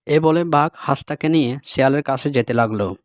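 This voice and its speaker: A man's voice: mid-range voice, a little fast, no room sound, clean studio sound. A man reading scripted narration for a children's animal story.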